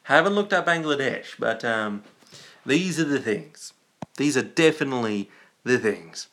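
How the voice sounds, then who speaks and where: A man's voice: medium pitch, lively intonation, unhurried, a bit bright, a little hiss or hum. An Australian man hosting a casual livestream, chatting in a rambling, jokey way and often interrupting himself. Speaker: livestream host.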